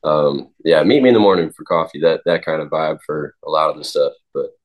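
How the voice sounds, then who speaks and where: A man of twenty, a singer-songwriter, answering interview questions in a halting way with many pauses and restarts. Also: very deep voice. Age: 20s.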